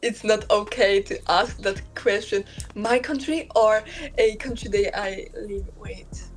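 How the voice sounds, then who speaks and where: A woman's voice: mid-range voice, fairly even intonation, a little slow, faint music behind, poor audio. A young woman with a Polish accent, hesitant and searching for words on a webcam video call from a room at home. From Poland. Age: young adult. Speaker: video chat stranger. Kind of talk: casual video chat. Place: room at home lit purple, indoors.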